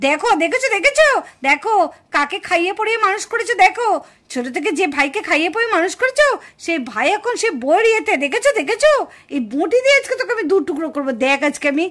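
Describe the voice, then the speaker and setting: A woman's voice: very high-pitched, big pitch swings, fast talker, fairly bright tone, heavily acted. A woman voicing a quarrelsome, indignant village daughter-in-law in a puppet cartoon family drama. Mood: furious. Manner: scolding, indignant, exclamatory.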